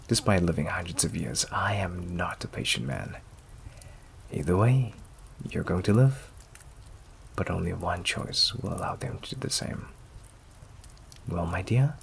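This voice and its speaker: Man acting a deep, gravelly, possessive vampire. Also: a little hiss or hum, exaggerated voice. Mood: commanding, pleased. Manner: slow, silky, often breathy near-whisper, condescending endearments. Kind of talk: ASMR roleplay acting. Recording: steady rain ambience behind the voice. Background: rain.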